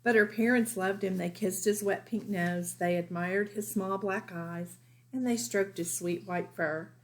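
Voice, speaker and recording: A woman's voice: medium pitch, fairly even intonation, measured pace, rough recording. A woman teacher reading a children's storybook aloud expressively, explaining things simply for young listeners.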